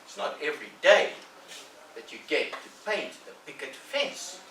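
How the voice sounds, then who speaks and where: A man's voice: a little high, very animated, unhurried, bright, forceful tone, distant mic, slight background noise, voice pushed up, heavily acted. A man voicing a sly young boy who talks up his chore, in an echoey room away from the mic. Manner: coy, boastful, sly. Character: sly boy tricking a friend into work.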